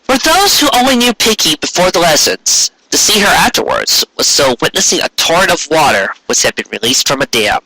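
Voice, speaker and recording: A man reading a story aloud as an expressive narrator. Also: a little high, brisk, few pauses, rough recording. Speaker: narrator, fan fiction reader.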